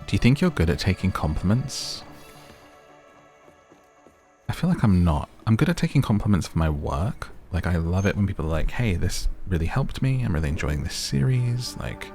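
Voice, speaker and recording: Low, soft-spoken man doing gameplay ASMR. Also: big pitch swings, normal speed. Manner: soft-spoken, gentle, chatty. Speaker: gaming video host. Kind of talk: relaxed ASMR gameplay commentary. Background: game music.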